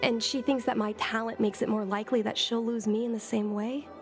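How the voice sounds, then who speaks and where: A woman's voice: a little high, steady tone, fairly quick, over music, lightly acted. Earnest young woman game character by an actress. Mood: wistful. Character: earnest young woman, a commander's wistful daughter. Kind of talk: video game voice acting.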